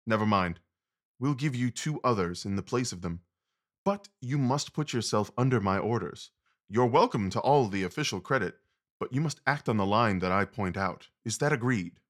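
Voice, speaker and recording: An actor playing a confident, commanding gentleman detective, wry and precise, in an audio drama. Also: lowish voice, expressive, normal speed. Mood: commanding, brisk.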